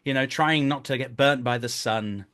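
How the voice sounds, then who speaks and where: A man's voice: mid-range voice, moderate pace. Chatty, self-deprecating man telling stories. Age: 30s. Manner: animated storytelling, self-deprecating, jokey. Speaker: interview guest, voice and performance director. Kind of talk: casual interview anecdotes.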